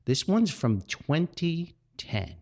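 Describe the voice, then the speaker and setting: A man hosting a podcast, casually introducing old comedy skits in a halting, amused manner. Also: on the high side, sing-song, background noise. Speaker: podcast host and author.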